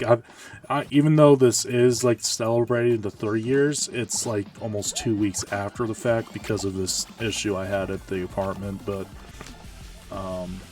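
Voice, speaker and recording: A male streamer chatting casually while playing a game, rambling and correcting himself, sounding tired and a little hoarse. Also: recorded from a distance. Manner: rambling, self-correcting, low-key. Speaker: Twitch streamer. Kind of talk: casual live-stream gaming chat.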